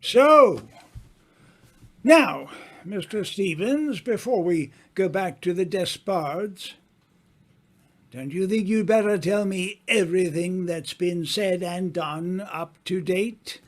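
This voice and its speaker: A man acting a slow, grandiloquent older writer. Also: sits high. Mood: grandly commanding. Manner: grandiloquent, deliberate, dramatic pauses. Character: worldly, self-assured older male writer, theatrical and teasing. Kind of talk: old-time radio mystery acting.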